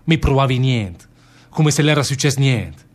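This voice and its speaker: A man acts out a naive northern Italian labourer in a radio play, with a Lombard accent and a medium-pitched voice. He is stubborn and plaintive, rambling on and repeating himself in dialect. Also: expressive, normal speed, natural voice. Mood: confessional. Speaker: stage actor in a radio theatre play. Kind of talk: dialect theatre acting.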